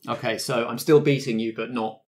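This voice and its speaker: A man's voice: medium pitch, fairly even intonation, a little fast. A man runs a tabletop roleplaying game in a mid-range voice. He explains rules and sets scenes off the cuff. His delivery is conversational and encouraging. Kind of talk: improvised tabletop game narration and casual chat.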